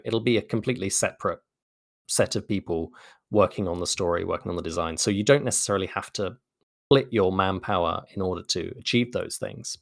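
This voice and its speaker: A man's voice: fairly low pitch, a bit bright, no echo, clean studio sound. A man speaking as an interview guest, articulate and explanatory in a thoughtful conversational style. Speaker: interview guest, game narrative designer.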